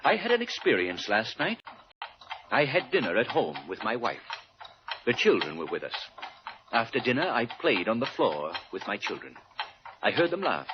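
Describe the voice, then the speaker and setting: An actor playing a proud, unyielding married colonel and financier, speaking formally and curtly in 1940s radio style. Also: male voice, medium pitch, wildly swooping pitch, moderate pace, pauses often. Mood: earnest. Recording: muffled, noisy vintage radio recording.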